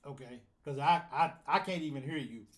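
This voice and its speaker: A man's voice: mid-range voice, normal speed. Guy running a fan show, laid back, keeps fussing with his audio. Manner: flustered, troubleshooting audio mid-show. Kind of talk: casual live-stream chat.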